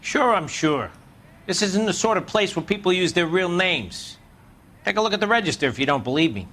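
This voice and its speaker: An actor playing a surly, unhelpful flophouse desk clerk in a crime video game. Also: male voice, sits high, lively intonation, brisk, sharp tone, full character voice.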